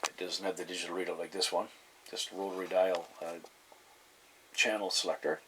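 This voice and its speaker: A man giving a casual, unscripted show-and-tell in a halting, meandering way, often repeating himself. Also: low-pitched, flat delivery, bright, forceful tone, rough recording. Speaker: hobbyist collector presenting his radio. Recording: clear background noise, room ambience.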